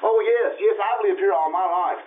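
An actor playing a cheerful, contented small-town working husband in an old-time radio drama. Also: male voice, sits high, normal speed, lightly acted. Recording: tinny, roomy, noisy radio sound.

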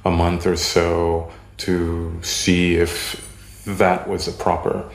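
Deep gravelly haitian-born guy, french first language, slow and halting, lots of ums. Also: monotone. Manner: halting, many ums and pauses, searching for words. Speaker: interviewee. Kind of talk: reflective interview answers. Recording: echoey room.

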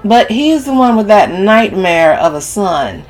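A brash, sassy woman from an audiobook novel, acted by a woman narrator, pitched high and swooping. She is scornful and dramatic, with a sharp tongue and salty, exclamatory delivery. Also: expressive, normal speed, a faint crowd, natural voice, poor audio. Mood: indignant.

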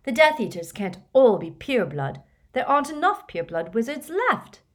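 A woman voicing a clever, stubborn schoolgirl who lectures her friends in an earnest, high voice. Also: sing-song, normal speed.

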